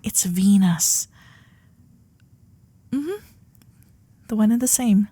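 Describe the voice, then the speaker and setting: A woman playing a shy, starry-eyed young Gilded Age heiress in an intimate romantic ASMR roleplay, breathy and often whispering, with genteel, hesitant manners. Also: mid-range voice, lively intonation, dark tone, no echo, heavily acted. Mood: quietly confident. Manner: genteel, old-fashioned politeness, hesitant pauses.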